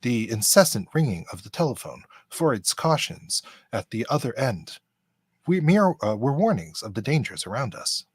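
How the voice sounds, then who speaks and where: A man playing a polite, earnest android officer in a fan radio play, speaking with formal, deliberate diction. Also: medium pitch, very animated, breathy edge, no echo. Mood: earnest, explaining.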